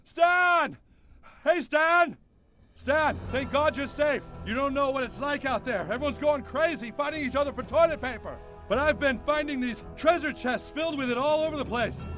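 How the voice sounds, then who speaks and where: An actor playing an excitable, greedy cartoon dad who shouts and gets giddy about getting rich, over game music. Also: high voice, normal speed. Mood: frantic. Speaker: father.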